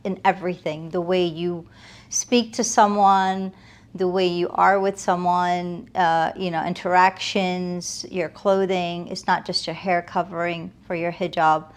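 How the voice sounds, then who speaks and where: Low-voiced woman, reflective and earnest. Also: fairly even intonation, a little slow. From United States, moved from Afghanistan as a toddler. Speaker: interview guest, realtor. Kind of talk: conversational interview answers.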